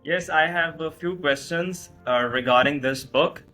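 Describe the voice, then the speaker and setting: A male podcast host with a higher, bright voice asks his guest formal questions with hesitant fillers. Faint music plays in the background. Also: measured pace. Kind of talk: podcast hosting, introducing guest.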